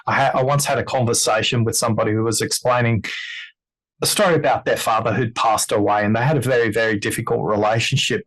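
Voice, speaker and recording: A man hosts a podcast conversation and speaks in a measured, deliberate way. He coaches his listeners and shapes each point with care. His pitch sits in a middle range. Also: poor audio.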